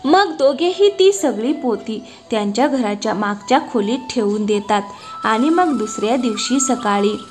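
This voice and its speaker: A woman's voice: fairly high pitch, lively intonation, normal speed. A woman narrating a scripted moral story as its storyteller.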